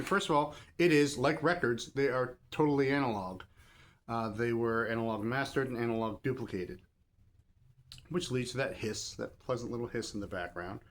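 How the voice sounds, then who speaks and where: Man chatting off the cuff over background noise. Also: medium pitch. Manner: rambling, pausing mid-thought to rephrase. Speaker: record shop seller and host. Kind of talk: casual off-the-cuff review talk to viewers. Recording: clear background noise and ambience.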